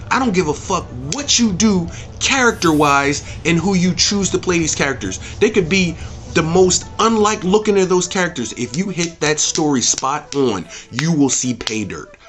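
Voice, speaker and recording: A man's voice: medium pitch, fairly bright tone. A man giving an opinionated, off-the-cuff review as a host, speaking emphatically, repeating himself and swearing freely. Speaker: movie reviewer and channel host.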